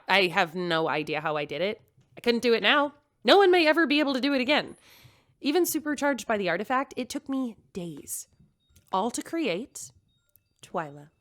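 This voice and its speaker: A woman's voice: mid-range voice, close to an everyday voice. An actress playing a computerized woman store owner and new mother, rambling a little nervously in a recorded message. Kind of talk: audio drama acting, recorded message.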